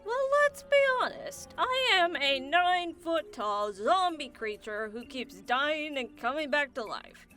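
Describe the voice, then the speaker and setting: A woman's voice: sits high, sing-song, measured pace, hardly any pauses, pushed and bright, faint music behind, full character voice. A woman playing a jaded nine-foot swamp zombie in a comic radio play, chatty and sardonic.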